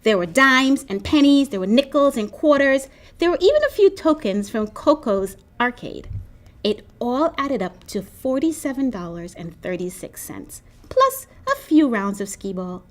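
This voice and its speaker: Lively, high-voiced woman reading aloud. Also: a little slow. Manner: expressive, animated storytelling. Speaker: storybook reader. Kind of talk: children's read-aloud.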